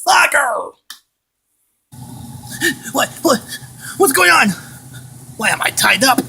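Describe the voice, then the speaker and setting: A man's voice: extremely high pitch, big pitch swings, takes it slowly, creaky, bright, forceful tone, a little hiss or hum, full character voice. A man playing a panicked, bewildered captive in a homemade skit, repeating himself and swearing in exclamations. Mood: panicked, confused. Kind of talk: amateur improvised skit.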